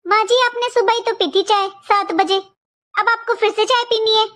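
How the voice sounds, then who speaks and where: A woman's voice: very high-pitched, steady tone, normal speed, fairly bright tone. A woman voicing a resentful, curt young Indian daughter-in-law in a cartoon family skit, grumbling and argumentative. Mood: curt, annoyed.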